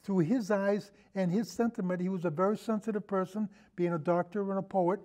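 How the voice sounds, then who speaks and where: An older man with a notably high-pitched voice and a mellow, relaxed tone. He talks as a guest in a casual film discussion, wandering and halting as he goes. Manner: rambling, halting, trails into et cetera. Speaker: podcast guest, longtime radio show host.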